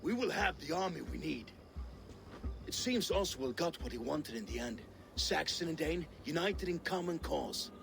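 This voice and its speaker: High, gravelly Viking war captain. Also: male voice, expressive, moderate pace, music in the background. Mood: earnest briefing. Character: battle-ready Viking war captain, earnest and fervent. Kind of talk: dramatic video game acting. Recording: game audio with background noise.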